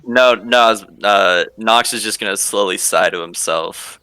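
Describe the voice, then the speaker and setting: A man taking part as a player in a tabletop game, speaking in a low-key, sighing way. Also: moderate pace, sharp tone, clear, resonant tone, dry recording.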